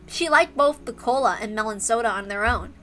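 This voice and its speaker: Bright, high-voiced woman narrating a story. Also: fairly quick, faint music behind. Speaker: narrator reading a visual novel.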